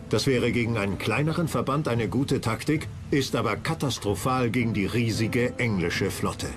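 A man reading scripted documentary narration. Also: medium pitch.

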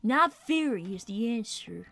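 A woman's voice: on the high side, lively intonation, a little slow, gravelly, fairly bright tone, dry recording, soft background music, full character voice. A woman voicing an earnest, clever young boy fox who chats candidly while thinking aloud on a gaming livestream. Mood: frustrated. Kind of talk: in-character gaming livestream chat.

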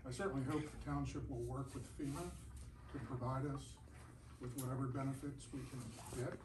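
A man resident giving a polite, measured comment at a council meeting. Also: medium pitch, little pitch movement. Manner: courteous and measured. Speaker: resident speaking at public comment. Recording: echoey meeting room, far mic, hiss.

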